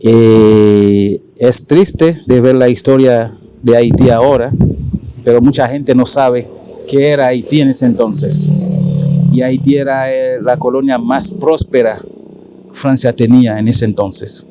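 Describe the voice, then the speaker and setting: A man in his forties from Haiti, speaking Spanish with a Dominican accent, talking haltingly with many fillers as an interview guest. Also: mid-range voice, fairly even intonation, noisy background. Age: 40s. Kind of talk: casual conversational interview.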